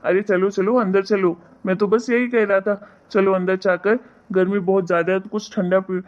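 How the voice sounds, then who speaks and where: A woman's voice: mid-range voice, fairly even intonation, moderate pace, natural voice. Woman voicing a good-humoured henpecked husband, dull sound. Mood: placating. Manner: coaxing, joking. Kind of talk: Hindi animated family story dialogue.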